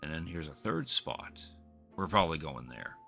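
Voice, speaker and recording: Male game streamer, deep and bright-toned, reads on-screen text and chats to viewers, faint music behind. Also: expressive, normal speed, gravelly. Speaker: game livestream host. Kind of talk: reading game dialogue aloud and chatting to stream viewers. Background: video game music.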